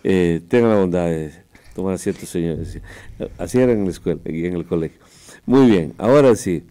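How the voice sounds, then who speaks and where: A man from Ecuador hosting a radio interview, chatty and playful, with frequent quick interjections. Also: low voice, dark tone. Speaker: radio host. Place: radio studio.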